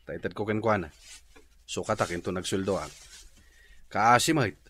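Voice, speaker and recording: An actor playing a wry, sympathetic older brother in an Ilocano comedy radio drama, with an Ilocano accent. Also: male voice, lowish voice, normal speed, fairly bright tone, husky. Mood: resigned, wry.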